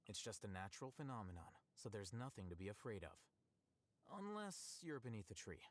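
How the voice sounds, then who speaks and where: An aloof young scholar from a video game, with a low, calm voice. He speaks in measured, precise phrases and sounds detached and deadpan, as if he would rather be left alone with his books. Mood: dry, indifferent. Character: aloof, calm, bookish young male scholar who shuns company. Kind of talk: scripted video game voice lines.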